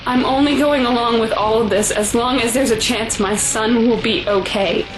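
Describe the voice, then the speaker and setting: High-pitched anguished mother played by a woman. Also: steady tone, normal speed, music in the background, lightly acted, poor audio. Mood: worried, subdued. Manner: emotional, pleading. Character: anguished, guilt-ridden mother fearing for her son. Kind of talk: audio drama acting.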